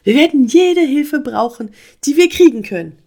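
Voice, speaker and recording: A woman voicing an urgent, excitable male frog in a children's story, insistent and exclaiming. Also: high voice, moderate pace, voice pushed up. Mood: alarmed.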